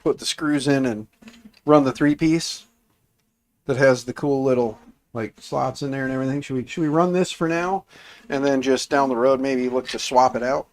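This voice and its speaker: A man hosting a casual livestream, congested and raspier than usual, rambling and repeating himself as he talks to his chat. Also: medium pitch, fairly even intonation, moderate pace. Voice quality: raspy, congested. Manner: mild swearing, offhand. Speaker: livestream host.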